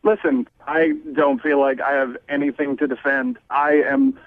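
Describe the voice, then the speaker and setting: A man's voice: fairly high pitch, expressive, moderate pace, no room sound. A man calling in to a radio show by phone, talking casually. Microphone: phone call. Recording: dry, tinny phone line.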